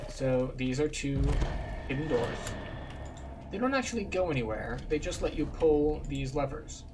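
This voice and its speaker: A man's voice: on the high side, lively intonation, normal speed, background noise. A man giving casual, dry-humored commentary while playing through a video game. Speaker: gameplay tour guide. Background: faint game music.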